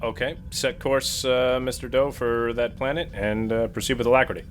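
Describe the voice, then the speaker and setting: A man's voice: mid-range voice, little pitch movement, normal speed. Man playing tabletop game, faint noise. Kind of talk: casual game table chat, partly in character.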